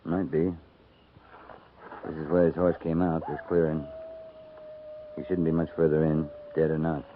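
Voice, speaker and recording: A very low-voiced, steady cavalry captain speaks in terse, dry, matter-of-fact lines. It is 1950s radio western acting, on a narrow, telephone-like old recording with faint noise. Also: male voice, unhurried. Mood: watchful. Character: laconic, steady middle-aged male cavalry captain on the frontier.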